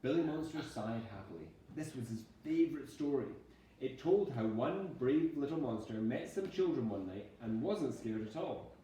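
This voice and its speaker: A man reading a storybook aloud like a schoolteacher, in a dramatic, deliberate storytelling manner. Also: medium pitch, animated, dark tone. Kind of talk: storybook narration. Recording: very echoey room, distant mic, faint noise.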